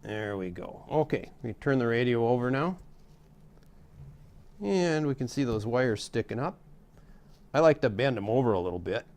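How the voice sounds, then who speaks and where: A man's voice: mid-range voice, animated, normal speed, pauses often, a little mellow. A middle-aged man giving a casual, hands-on tutorial in a folksy, step-by-step way. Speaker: how-to host demonstrating a repair.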